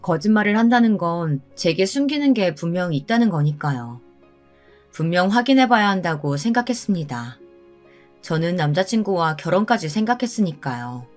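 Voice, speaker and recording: A woman's voice: fairly low pitch, expressive, normal speed, soft background music. A woman reading a scripted first-person story as its narrator.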